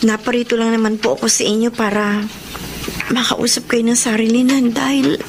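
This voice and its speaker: An actress playing an earnest, worried young student in a radio drama. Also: female voice, on the high side, level tone, normal speed, noisy background, lightly acted. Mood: pleading, respectful. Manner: earnest, polite. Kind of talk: radio drama acting and narration.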